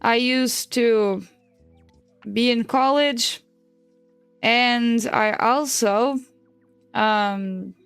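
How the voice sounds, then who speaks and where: Twenties woman streamer, native Portuguese speaker; unhurried, slightly high voice, loose and profane. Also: clear, resonant tone, no echo, soft background music. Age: 20s. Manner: chatty, swears and laughs often. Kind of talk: casual chat while drawing.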